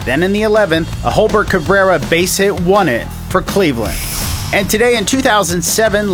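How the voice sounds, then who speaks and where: A man narrates sports history as a radio host, scripted and upbeat. His voice sits fairly high, with music clearly behind it.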